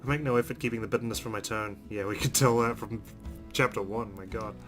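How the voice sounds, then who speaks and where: A man's voice: fairly low pitch, steady tone, fast, over music. A man narrating a visual novel aloud as a let's player, reading it dramatically with brief asides.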